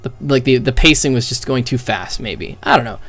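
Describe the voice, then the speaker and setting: A man with a mid-pitched voice talks as a streamer reacting to game cutscenes. He is blunt and opinionated, swears freely, and speaks casually and off the cuff. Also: brisk, fairly bright tone. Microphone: close mic with gain set very high.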